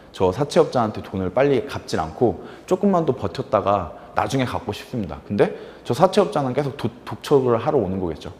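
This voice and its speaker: A man's voice: mid-range voice, darkish tone, big-room sound. A man teaching fiction writing in a casual lecture, full of fillers and acted-out example lines.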